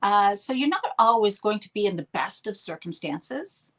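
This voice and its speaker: A woman's voice: medium pitch, expressive, normal speed. A middle-aged woman with a Canadian accent, chatting as a podcast guest in an upbeat, laughing way. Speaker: podcast guest, healer and mentor. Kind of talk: casual interview chat.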